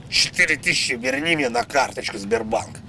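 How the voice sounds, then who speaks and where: A man's voice: medium pitch, animated, a little fast, bright, forceful tone, slight background noise. A man livestreaming in a coarse, mocking and belligerent way, ranting with constant swearing. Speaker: livestreamer making prank calls.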